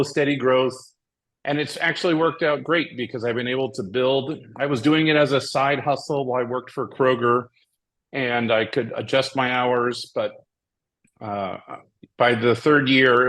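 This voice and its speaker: A man from Hawaii, a tournament director guest, telling his story in a steady, methodical way. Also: medium pitch, poor audio. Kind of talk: casual interview.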